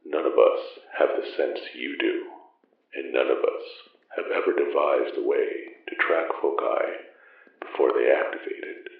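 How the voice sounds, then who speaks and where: An actor playing an ancient, solemn, secretive magic-wielder and mentor in an audio drama, speaking in formal, archaic, measured phrases. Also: male voice, a bass voice, fairly even intonation. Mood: solemn, urging. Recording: muffled narrow band, echoey, distant.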